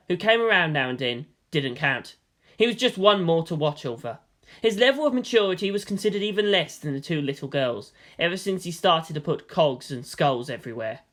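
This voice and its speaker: High-voiced man narrating a story. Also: fairly bright tone. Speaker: reader of a fan fiction story.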